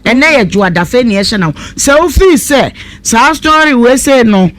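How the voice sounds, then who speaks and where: A woman's voice: a little high, lively intonation, moderate pace, a bit bright. A woman with a Ghanaian accent hosting a live radio talk show, questioning and commenting in a blunt, probing way.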